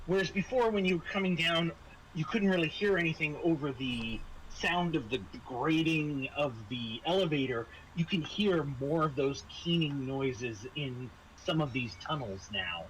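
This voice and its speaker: A man narrating a tabletop role-playing game as game master, halting and searching for words. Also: a little high, expressive, fairly bright tone, slight background noise, poor audio.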